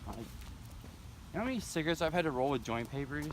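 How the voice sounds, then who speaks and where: A man's voice: on the high side, expressive, fast talker. A young American man chatting casually with friends and telling stories in slangy, profane language. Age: teens to young adult. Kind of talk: casual chat, storytelling among friends. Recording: noisy amateur recording with clear background noise.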